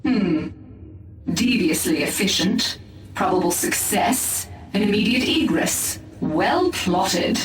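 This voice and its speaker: A woman's voice: medium pitch, animated, slow pace. An actress playing a disdainful female elf warrior in a video game, speaking in a clipped, imperious, formal way. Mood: cool, appraising. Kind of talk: scripted video game acting. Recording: echoey, distant, music behind.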